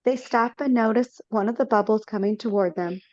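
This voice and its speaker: A woman's voice: on the high side, a little fast, a little mellow, dry recording. A woman narrating stage directions in a casual way for a live reading over a video call, with slips and self-corrections. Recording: dull lo-fi audio.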